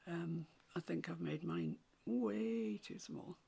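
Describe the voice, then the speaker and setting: A woman with a croaky voice, teaching a watercolour painting tutorial in soft, often half-whispered instructions. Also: lowish voice, takes it slowly. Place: home room with a mantelpiece.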